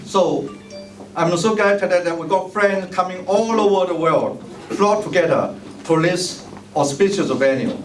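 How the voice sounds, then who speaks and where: A man's voice: high-pitched, unhurried. Man speaking English with a Chinese-native accent in an echoey hall. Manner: formal, ceremonial, thanks many guests by name. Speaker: mayoral candidate giving a speech. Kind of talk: bilingual public speech, switching English and Chinese. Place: large hall at a tea party event. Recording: echoey hall, distant mic, noisy ambience.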